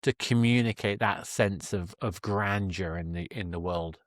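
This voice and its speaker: Low-voiced British man hosting an interview. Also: unhurried, dry recording, studio quality. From Britain. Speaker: podcast host. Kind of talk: conversational interview.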